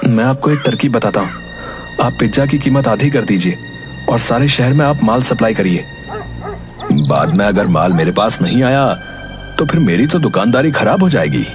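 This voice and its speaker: A man's voice: mid-range voice, sing-song, moderate pace, over music, close to an everyday voice. A man voicing a shrewd, chatty shopkeeper in a cartoon, inquisitive and bargaining. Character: shrewd, chatty middle-aged shopkeeper uncle. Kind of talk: Hindi cartoon dialogue.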